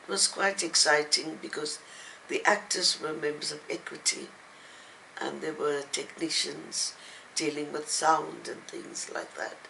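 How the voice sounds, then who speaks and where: An older woman speaking as an interviewed writer, reflective and halting, with thoughtful pauses. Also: low voice, gravelly, pushed and bright, slight background noise, rough recording. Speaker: novelist and playwright being interviewed. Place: at home, indoors.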